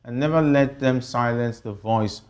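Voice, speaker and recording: A man's voice: medium pitch, measured pace, a little mellow. A man with a Nigerian accent hosting a broadcast political panel discussion and reading news updates. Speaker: TV host of a political panel show.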